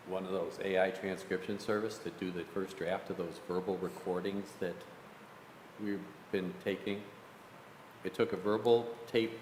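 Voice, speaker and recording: A man on a planning commission giving loud, rambling casual remarks at a public meeting. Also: low-pitched, a little hiss or hum. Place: council chamber, echoey room. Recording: echoey hall from a room mic.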